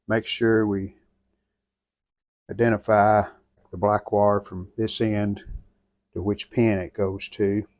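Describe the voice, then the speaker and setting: A man with an American accent and a noticeably creaky, gravelly voice talks through a repair job unscripted. He takes his time, speaking very slowly in a folksy, plain way. The sound is close and dry, with little room around it. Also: fairly low pitch, a little mellow, dry recording. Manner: folksy, plainspoken, thinking aloud while working. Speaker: host of a radio restoration channel. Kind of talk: unscripted hands-on repair narration.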